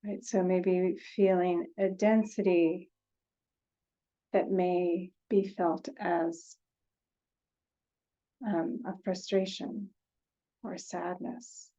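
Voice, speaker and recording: A woman leading a guided meditation in a gentle, unhurried way, leaving long pauses between short phrases. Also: on the low side, fairly even intonation, muffled recording.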